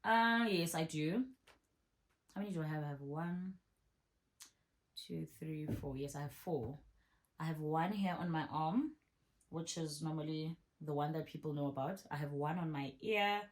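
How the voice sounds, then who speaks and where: A woman in her thirties with a notably low, deep voice. She chats casually through a viewer Q&A, repeating her points for emphasis. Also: normal speed, long pauses. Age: 30s. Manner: chatty, repeats herself for emphasis. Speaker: vlogger. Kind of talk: casual Q&A answering viewer questions.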